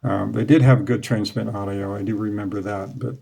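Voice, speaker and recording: A man's voice: lowish voice, fairly even intonation, fairly quick, gravelly, warm tone, breathy edge. A man in his forties, hosting his own hobby channel, talks casually to the camera in a small room through a lapel mic, plain-spoken and nostalgic, with a few fillers. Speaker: radio hobby channel host. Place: small room indoors in front of curtains. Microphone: clip-on lapel mic.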